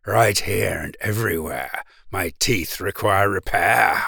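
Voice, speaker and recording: Sly croc with a big grin, deep and slow, read by a man; dry, close recording. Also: vocal fry, pushed and bright, slightly rough, no room sound, heavily acted. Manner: low, slow and coaxing. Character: sly grinning male crocodile, scheming. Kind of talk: storybook character voice.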